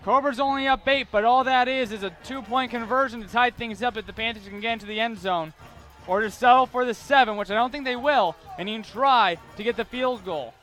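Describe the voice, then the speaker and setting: A young American man with a high, bright voice works as a sports reporter and announcer. He delivers his commentary in a forceful, clear-cut broadcast style. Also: fairly quick, soft background music.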